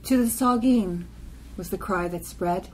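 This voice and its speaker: A woman's voice: medium pitch, a little slow, a little mellow, slight background noise, poor audio. A woman giving a scripted poetry reading in short, separate phrases.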